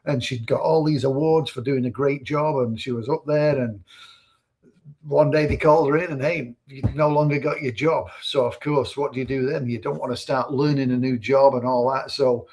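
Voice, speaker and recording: A man in his fifties co-hosting a casual livestream. His voice is husky and sits at a middle pitch. He tells stories in an easygoing, rambling way. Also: fairly even intonation, moderate pace, darkish tone, low-quality recording. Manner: easygoing storyteller, rambling with fillers. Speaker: livestream co-host.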